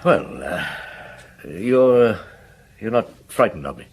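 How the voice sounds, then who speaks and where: A man playing a patient, probing chief inspector in a radio drama, questioning deliberately with musing hums. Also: fairly low pitch, big pitch swings, breathy edge, exaggerated voice. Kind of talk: radio drama acting and first-person narration.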